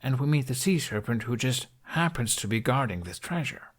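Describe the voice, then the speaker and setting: A man's voice: medium pitch, lively intonation, a little fast, husky. A man reading a story aloud as scripted audiobook narration.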